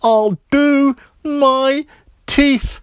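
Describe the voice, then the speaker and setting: A man's voice: fairly even intonation, extremely slow, dry recording, clean studio sound, full character voice. A man voicing a frightened, whiny little boy who begs his parents for help. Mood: realising. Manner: high-pitched, pleading, frightened.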